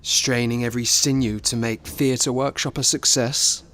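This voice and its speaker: An actor playing a loyal, defensive and ambitious theatre business manager in a radio play, earnest and quick to argue. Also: male voice, mid-range voice, little pitch movement, a bit bright, natural voice. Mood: defensive, combative. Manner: earnest, combative, assertive.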